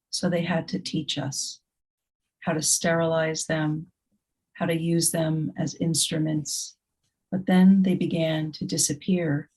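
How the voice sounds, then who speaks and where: A woman's voice: low-pitched, a little mellow, husky, low-quality recording. A woman, a guest poet, speaking in a frank, wry way. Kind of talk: conversational interview answers.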